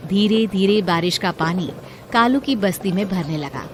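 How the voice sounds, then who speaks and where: A woman's voice: mid-range voice, normal speed, background noise. A woman giving scripted narration of a moral story as the storyteller.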